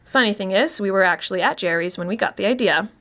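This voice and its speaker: A woman's voice: medium pitch, fast, a bit bright, natural voice. Chatty, wry woman confessing as an actress plays her. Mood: wry, confiding. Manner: confiding, wry, conversational. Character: chatty, wry Los Angeles woman past thirty confessing. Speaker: actor reading a short story. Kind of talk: first-person dramatic monologue, conversational storytelling.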